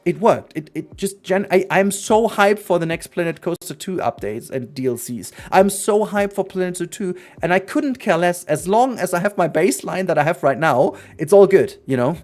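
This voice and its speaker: A man's voice: sits high, darkish tone. Earnest non-native English-speaking man streaming. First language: not English. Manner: earnest, rambling, frequent restarts. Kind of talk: casual livestream chat.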